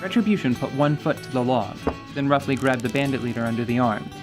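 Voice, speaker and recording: A man giving scripted, measured storytelling narration for a fantasy radio drama. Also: medium pitch. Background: light music.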